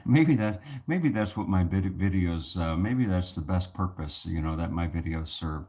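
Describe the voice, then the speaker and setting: A man's voice: deep voice, lively intonation, measured pace, a little mellow. A man hosting his own channel, talking casually to the camera with a soothing voice, rambling through many restarts and fillers. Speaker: channel host.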